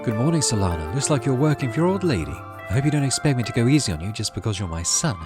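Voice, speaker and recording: A man voicing a brisk, no-nonsense colonist mother in a game, instructing her child matter-of-factly. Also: mid-range voice, very animated, over music, lightly acted. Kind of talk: reading visual novel game dialogue.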